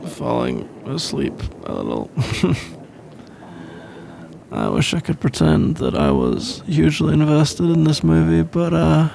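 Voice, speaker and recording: A man's voice: a little high, gravelly, a little hiss or hum, heavily acted. A man with a British accent playing a sleepy, shy college boyfriend in an intimate ASMR roleplay, hesitant and rambling. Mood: drowsy, affectionate. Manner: hesitant, halting, rambling with soft laughs. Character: sleepy, shy, easygoing young male college student boyfriend.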